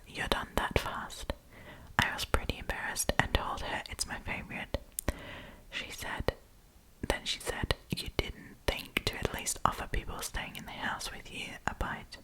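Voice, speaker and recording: Whispering woman, ASMR storyteller. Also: low-quality recording. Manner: casual, chatty, giggly, informal. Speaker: host reading Reddit stories and commenting.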